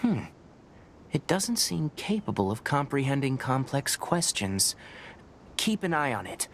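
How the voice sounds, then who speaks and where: An actor playing a calm, scholarly young forest ranger in a video game, measured and patient as he explains. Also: mid-range voice, slight background noise. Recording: game audio with clear ambience.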